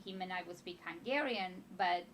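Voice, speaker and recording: A woman's voice: lowish voice, normal speed, a little hiss or hum, low-quality recording. A woman in her fifties from Romania with a slight Romanian accent, a guest telling her story in a casual interview with lots of fillers and restarts. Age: 50s. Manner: rambling, with frequent fillers and restarts. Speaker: financial advisor guest.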